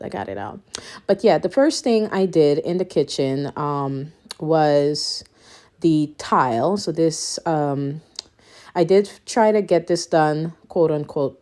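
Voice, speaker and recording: A woman's voice: sits low, warm tone. A woman in her thirties from Suriname with a Guyanese accent, chatting casually and a little out of breath, with lots of ums. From Suriname, raised Guyanese. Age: 30s. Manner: rambling, many ums, laughs between thoughts. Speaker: home renovation vlogger. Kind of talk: casual chat to camera.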